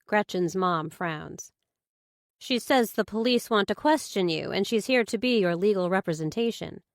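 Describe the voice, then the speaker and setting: A woman's voice: medium pitch, expressive, normal speed, dry recording, studio quality. A woman reading scripted first-person thriller narration as an audiobook narrator.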